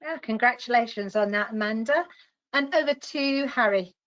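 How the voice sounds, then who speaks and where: A woman moderating a webinar, briskly handing over to speakers and reading out questions. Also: on the high side, a bit bright. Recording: dull lo-fi call audio.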